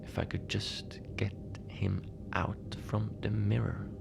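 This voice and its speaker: A man playing a mild, lonely Antarctic station manager in a cozy horror audio drama, speaking in a hesitant, understated deadpan as he logs his shift. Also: lowish voice, slow pace, gravelly, in a whisper, full character voice. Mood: calm, matter-of-fact. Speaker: narrator of a fiction podcast. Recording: noisy ambient bed behind the voice.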